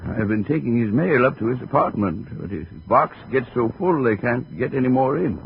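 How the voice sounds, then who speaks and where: An American man playing a mild, obliging, worried apartment manager in a 1950s radio drama, with a hesitant, creaky delivery. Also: medium pitch, expressive, moderate pace. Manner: hesitant, rambling, concerned. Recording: noisy, dull old radio recording.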